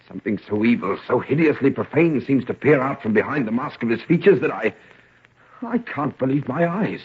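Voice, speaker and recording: A man playing a grave, troubled gentleman father in an old-time radio drama, solemn and melodramatic. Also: medium pitch, husky. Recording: dull, muffled old radio recording.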